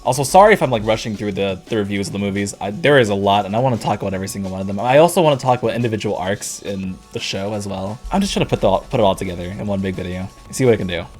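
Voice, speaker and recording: A man casually reviewing movies, rambling and correcting himself, his voice worn and going hoarse. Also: on the low side, racing, hardly any pauses, darkish tone, faint music behind. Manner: rambling, self-correcting, laughs often. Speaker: YouTube reviewer.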